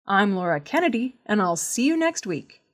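Woman reading scripted news in measured delivery. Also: mid-range voice, big pitch swings. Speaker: news reader.

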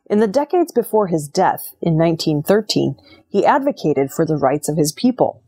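A woman with a lowish, husky voice reads prepared news items. She narrates in a scripted news style, with a roughened edge to her tone. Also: mellow. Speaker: news reader.

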